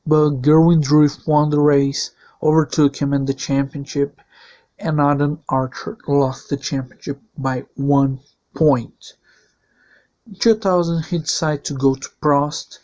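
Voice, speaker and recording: A man whose first language is Portuguese, hosting a league channel with a voice-over season preview. He delivers a plain, steady recap in non-native English phrasing. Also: a little high, unhurried, dull audio.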